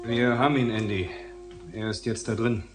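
An actor playing a hardboiled police officer in a radio crime drama, dry and matter-of-fact. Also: male voice, lowish voice, fairly even intonation, normal speed, over music, lightly acted. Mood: brisk, dry.

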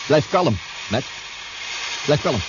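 Cautioning submarine crewmate, noisy recording. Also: male voice, mid-range voice, very animated, slow pace. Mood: concerned. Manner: cautioning, instructive. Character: protective, anxious male submarine crewmate guiding a diver. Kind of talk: 1970s Dutch science-fiction radio drama acting. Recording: dull, noisy 1970s radio recording.

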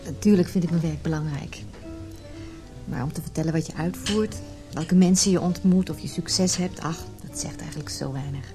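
A woman's voice: sits low, moderate pace, over music. An actress playing an earnest, frank married woman in a radio play. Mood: reproachful, earnest. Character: sincere, earnest married woman named José.

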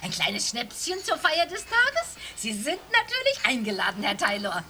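An actress playing a coquettish middle-aged village innkeeper who gushes over a returning guest, in a radio play. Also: female voice, a little high, huge pitch swings, normal speed, background noise. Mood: gushing. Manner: gushing, effusive.

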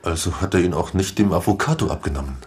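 A man's voice: sits low, quick pace, slightly rough, dry recording. An actor playing a terse, dutiful male police assistant in a radio crime drama, speaking matter-of-factly. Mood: pondering.

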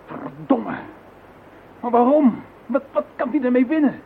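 A man's voice: very high-pitched, big pitch swings, measured pace, vocal fry, narrow, tinny audio, slight background noise, exaggerated voice. An actor playing a wry male chief inspector in a radio drama, with a dry teasing manner. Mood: alarmed.

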